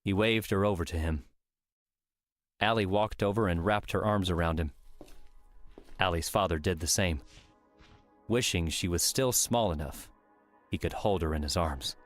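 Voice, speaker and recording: A man's voice: sits low, normal speed, long pauses. A man narrating a scripted audiobook story, with music playing underneath.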